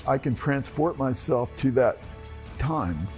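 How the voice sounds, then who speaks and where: A man's voice: mid-range voice, animated, takes it slowly, music in the background. A man in his seventies from Texas, a veteran photographer reminiscing in an interview. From Texas, United States. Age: 70s.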